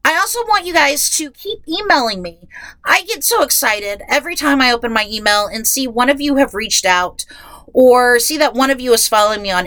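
Female podcast host, young adult, nasal with a head cold, chatty and self-mocking, bright tone, dry closet sound. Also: on the high side, no echo, poor audio. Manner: chatty, self-deprecating, many restarts. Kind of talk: casual podcast chat. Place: closet at home.

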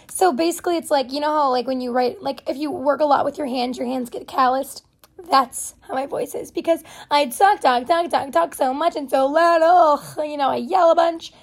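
A young woman with a naturally hoarse, raspy voice, hosting a casual solo podcast and rambling chattily with lots of fillers. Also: high-pitched, moderate pace. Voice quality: hoarse, raspy voice from vocal cord nodules. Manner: rambling, chatty, self-deprecating with many fillers. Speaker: podcast host.

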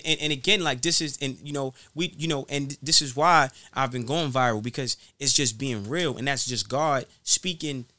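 Male interview guest, fairly high voice, dry close sound. Emphatic, preacher-like motivational delivery with repeated phrases. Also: sharp tone, no room sound.

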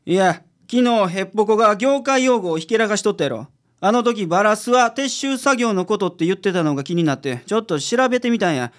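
A man's voice: high voice, expressive, fairly quick. A man playing an unflappable, easygoing private detective with a Kansai accent, explaining things confidently in a radio drama. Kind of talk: community radio drama acting.

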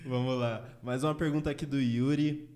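A man hosting a podcast, reading listener questions aloud in a relaxed, casual way. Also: medium pitch. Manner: unhurried, reads questions aloud. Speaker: podcast host.

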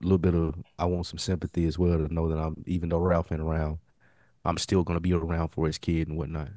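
Very deep-voiced American man, dry close sound. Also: flat delivery, quick pace, dark tone, no echo. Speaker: podcast co-host. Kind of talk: casual TV commentary.

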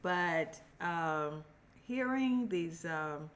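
Mother guest, woman, hoarse and lower-pitched, reflective and halting. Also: lively intonation, a bit bright. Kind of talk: casual podcast chat.

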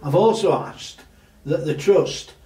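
A loud-voiced man talks through local matters as a councillor, full of pauses and fillers. His tone is mellow and dark. He sits away from the mic in a room with echo. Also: fairly high pitch, lively intonation. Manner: loud voice, halting with many fillers. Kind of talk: casual interview talk. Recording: echoey room, distant mic.

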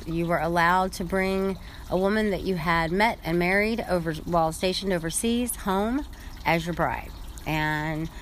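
A woman telling a family story in an earnest, halting way, with many fillers. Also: on the low side, a little hiss or hum, poor audio. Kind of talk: casual spoken storytelling.

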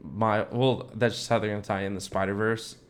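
A man's voice: lowish voice, steady tone, fairly quick. A young man co-hosting a podcast, chatting casually in an informal, slangy way. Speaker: podcast co-host. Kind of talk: casual chat.

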